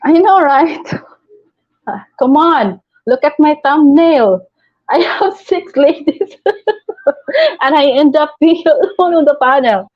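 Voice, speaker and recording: Filipina lady doing a live stream, slow easy chat, reads out comments, muffled lo-fi sound. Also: high-pitched. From Philippines. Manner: chatty, reads viewer comments aloud, laughs often.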